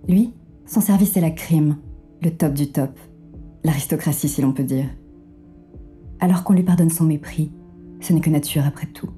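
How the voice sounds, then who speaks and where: Actress for female third-person narrator, noir thriller audio drama; deep for a woman, very soft-edged dark timbre, wry and measured. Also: soft background music, close to an everyday voice. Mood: detached, wry.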